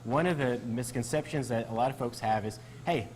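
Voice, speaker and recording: Brief: male doctor guest, even mid-pitched voice, calm explainer on a news segment. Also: brisk, slight background noise, poor audio. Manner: explanatory, steady. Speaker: physician guest. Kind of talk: TV interview.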